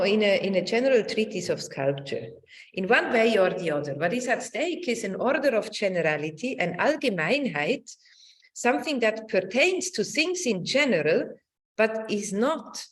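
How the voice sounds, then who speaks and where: A middle-aged woman giving a semi-improvised academic talk over a video call, haltingly searching for words and checking with a questioning tag. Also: mid-range voice. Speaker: academic lecturer. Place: indoor room, online presentation.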